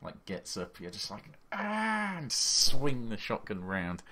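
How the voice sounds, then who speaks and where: A man's voice: low voice, unhurried, pushed and bright. A man running a tabletop horror game as game master, describing the action blow by blow with sound effects, on a voice call.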